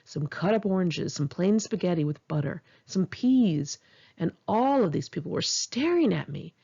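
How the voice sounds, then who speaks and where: A woman's voice: lowish voice, creaky, a little mellow, breathy edge, muffled recording. An American woman reads her own memoir aloud in an informal audiobook style, with a candid, wry, gossipy way of telling stories. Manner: candid, wry, gossipy storytelling with blunt swearing. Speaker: author reading own memoir. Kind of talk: scripted narration, informal audiobook reading.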